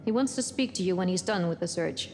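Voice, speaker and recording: An actress playing a serious, composed spaceship officer in a video game, speaking in a measured, formal way. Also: female voice, lowish voice, darkish tone, close to an everyday voice. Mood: businesslike. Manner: measured, formal, matter-of-fact. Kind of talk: cinematic video game acting. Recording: reverberant room, music underneath.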